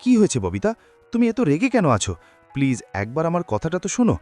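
A man's voice: fairly high pitch, wildly swooping pitch, fast talker, a little mellow, faint music behind. Overwrought haunted young man, wildly swinging delivery by an actor. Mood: pleading. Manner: overwrought, pleading, exclaiming. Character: panicky young man haunted by his dead lover. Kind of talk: melodramatic horror cartoon dialogue.

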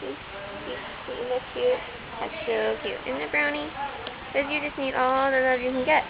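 High, whiny teen girl cooing at horses. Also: fairly even intonation, moderate pace. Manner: cooing baby talk to animals. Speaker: vlogger at a horse barn. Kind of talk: casual talk to horses and camera. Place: indoor horse stable. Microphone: handheld camera close up. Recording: muffled lo-fi camera audio with loud noise.

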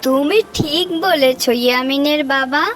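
A woman voicing a gentle, devout village mother who calmly reassures her family in a cartoon story. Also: high voice, unhurried, background noise. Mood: reassuring.

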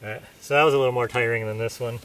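A man's voice: mid-range voice, fairly even intonation, racing, fairly bright tone. A man testing camping hammers outdoors, explaining plainly and thinking aloud as he works. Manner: plain, matter-of-fact, thinking aloud while working. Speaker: gear reviewer. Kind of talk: casual product test. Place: outdoors on open dirt ground in pine woods. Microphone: camera mic at a distance. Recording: distant outdoor camera audio with faint noise.

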